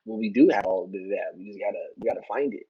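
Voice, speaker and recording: A man with a husky, slightly rough voice talks casually as a podcast guest. He rambles earnestly, circling back and checking in often. The recording is a bit dull and lo-fi. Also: fairly low pitch, very fast. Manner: rambling, earnest, repeats "you know". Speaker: interview guest, soccer player.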